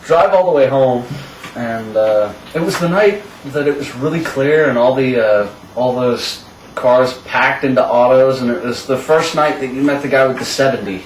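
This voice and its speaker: A man's voice: mid-range voice, normal speed. A young adult man telling rambling, jokey stories casually among friends. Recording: echoey, noisy room, far from mic.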